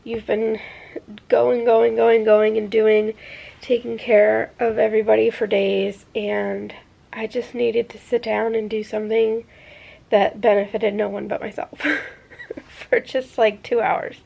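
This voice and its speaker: A woman's voice: a little high, flat delivery, takes it slowly, vocal fry, muffled recording. A young woman vlogging casually to her camera, rambling with lots of fillers and sounding congested from a sinus infection. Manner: rambling, many fillers like "like" and "I don't know". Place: in a car, then at home.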